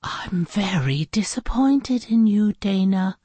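A woman's voice: high voice, sing-song, very slow, a bit bright, no room sound, pitched above the performer's own voice, full character voice. A woman reading a storybook aloud, voicing a stern schoolteacher who is exasperated and sometimes drops to a tense whisper. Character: stern woman schoolteacher fussing over paperclips.

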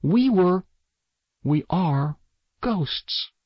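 A man acts out a haunted young girl from a ghost story, intense and desperate. The voice is raised in pitch, slightly husky, and insistent in its pleading. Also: very animated, slow, no room sound, highs cut off, exaggerated voice. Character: desperate haunted girl insisting her family are dead.

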